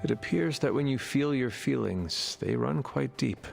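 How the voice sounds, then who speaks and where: An actor playing a formal, old-fashioned young man in a video game, the son of a demon queen, with a gravelly voice. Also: mid-range voice, expressive, unhurried, soft background music. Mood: calm. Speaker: game character. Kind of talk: video game cutscene acting.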